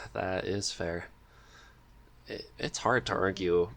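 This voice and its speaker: A man hosts a casual interview in a low-pitched voice. He takes his time with each phrase, agreeing readily and asking simple questions. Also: steady tone, slow, creaky, fairly bright tone. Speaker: podcast host, interviewer.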